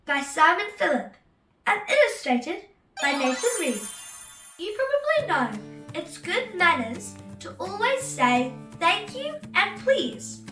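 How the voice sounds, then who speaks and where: A woman reading a children's storybook aloud as host, in a theatrical, exclamatory way with drawn-out emphasis. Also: extremely high pitch, big pitch swings, recorded from a distance. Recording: roomy echo, off-mic, music bed.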